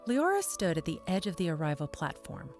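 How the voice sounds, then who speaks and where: Audio drama narrator: woman, slightly low register, descriptive and measured, gentle music bed. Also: wildly swooping pitch. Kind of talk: scripted fantasy story narration.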